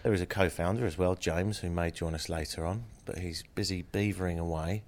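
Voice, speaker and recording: A man's voice: low voice, fairly even intonation, a little fast. A man hosting a casual interview, sounding a bit stuffed up with a cold. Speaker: podcast host, interviewer.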